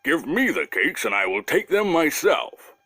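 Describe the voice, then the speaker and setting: A man voicing a huge, gruff, bossy and gullible male bear in a children's storybook tale, with an emphatic, demanding delivery. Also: on the high side, sing-song, a little slow, bright, forceful tone, no room sound, voice pushed up, exaggerated voice. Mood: commanding.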